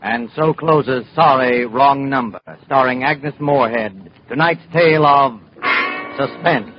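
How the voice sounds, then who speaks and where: A man's voice: medium pitch, a little slow, lightly acted. A man announcing a thriller program in formal, dramatic 1940s radio style. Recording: dull old radio with loud music.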